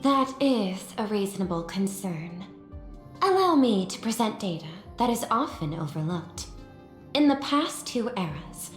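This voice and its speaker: An actress playing a composed, analytical corporate executive in a sci-fi video game, speaking formally and with measured precision. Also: female voice, mid-range voice, echoey room, lightly acted. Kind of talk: scripted video game character dialogue.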